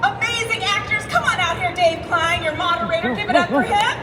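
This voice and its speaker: A woman hosting a convention stage, excitedly hyping up the crowd. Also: very high-pitched, brisk. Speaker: event host. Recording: echoey hall from far off, loud crowd.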